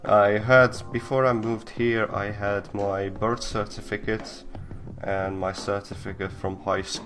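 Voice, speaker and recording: Hesitant young man, low voice. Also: flat delivery, a little slow, over music. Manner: hesitant, many fillers and restarts. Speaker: host. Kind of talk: casual live-stream chat.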